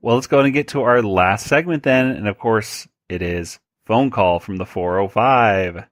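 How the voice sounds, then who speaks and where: A woman's voice: a bass voice, normal speed, no echo, clean studio sound. A woman hosting a podcast in a casual, chatty style. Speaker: podcast host.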